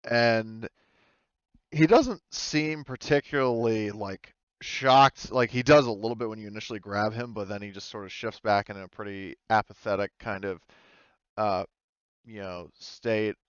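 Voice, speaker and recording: A man running a tabletop game as game master, narrating in a casual, halting, informal way. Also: lowish voice, clean tone, no room sound, highs cut off.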